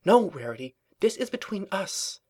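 Male narrator as female pony office worker, high-pitched, paranoid, melodramatic, urgent, storybook character. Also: big pitch swings, very slow, a bit bright, no echo, studio quality, exaggerated voice.

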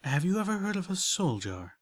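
A man's voice: high voice, big pitch swings, brisk, no room sound, voice pushed up. A man voicing an elegant, prim unicorn mare who talks with genteel enthusiasm. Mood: confiding.

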